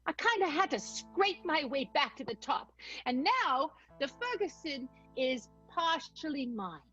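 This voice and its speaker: A woman's voice: high-pitched, sing-song, a little slow, a bit bright, lo-fi sound, soft background music, full character voice. A woman playing a hardened, probing 1920s vaudeville theatre owner in an improvised radio soap. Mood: suspicious.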